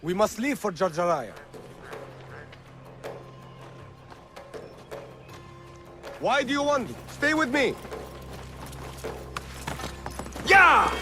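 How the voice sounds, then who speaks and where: An actor playing a proud, vengeful male rebel leader in a video game, defiant and heated, with music behind him. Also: high-pitched. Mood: urgent, scornful. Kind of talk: dramatic video game acting.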